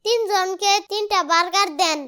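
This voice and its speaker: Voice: very high-pitched, fairly even intonation, moderate pace, sharp tone, soft background music. A performer voicing a cheeky, eager, food-loving little child in a cartoon. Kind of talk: animated cartoon voice acting.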